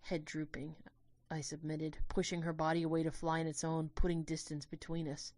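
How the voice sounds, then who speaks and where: A woman giving a dramatic first-person narration of a scripted story, in a measured, literary and expressive way. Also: sits low, no echo.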